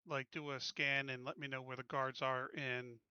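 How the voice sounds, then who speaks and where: A man with a mid-pitched, very forceful and bright voice talks casually through a tabletop game as a player. The recording is dry and a little dull, as on online voice chat. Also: little pitch movement, normal speed, smooth, dry recording. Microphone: online voice chat microphone.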